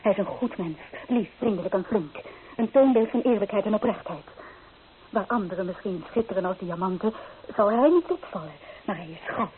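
On a thin, hissy old radio recording, an actress plays an earnest, proper young bride-to-be, loyal and gently reproving. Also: female voice, medium pitch, animated, fairly quick, narrow, tinny audio, close to an everyday voice. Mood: earnest, resolute. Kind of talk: radio play acting.

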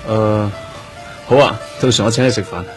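An actor playing a quietly probing police detective in a radio drama, speaking Hong Kong Cantonese. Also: male voice, lowish voice, normal speed, over music, lightly acted. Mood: friendly.

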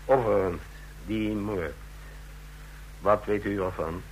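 Commanding, low-voiced secret police chief. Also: male voice, steady tone, slow, poor audio. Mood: cool, authoritative. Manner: curt, authoritative. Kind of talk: vintage Dutch radio play acting. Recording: old radio recording, hum and hiss.

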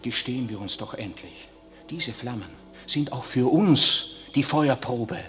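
An actor playing an impassioned young priest, declaiming in emphatic radio drama style. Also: male voice, medium pitch, expressive, unhurried, a bit bright, soft background music. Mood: anguished reproach. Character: impassioned young priest accusing the Pope of silence.

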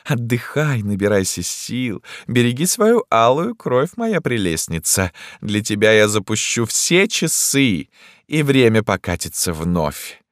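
Pompous emperor, lecherous, raised pitch, swooping delivery, cooing, grandiose, audiobook character. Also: male voice, very animated, dry recording, studio quality. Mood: seductive. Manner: pompous, cooing, drawn-out exclamations. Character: theatrical lecherous emperor, wheedling, grandiose, gallant and menacing.

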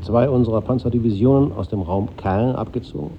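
An actor playing a composed, resolute wartime army colonel in a 1950s radio drama, with a clipped, controlled delivery. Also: male voice, mid-range voice, fairly quick, gravelly, lightly acted. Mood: grave, resolute. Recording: dull old radio recording, slight noise.

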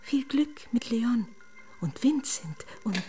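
A woman playing a dainty, homesick princess in a radio play, breathy and often whispering, with quaintly formal, exclaiming speech. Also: fairly high pitch, lively intonation, slow pace, music in the background. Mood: wistful, fussy. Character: runaway storybook princess, dainty, homesick, fussily polite. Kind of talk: German radio drama acting.

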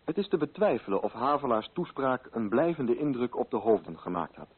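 An actor playing a sober literary narrator, telling a story in scripted radio drama style. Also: male voice, medium pitch, big pitch swings, brisk, husky. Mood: sober, solemn. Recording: muffled old radio recording.

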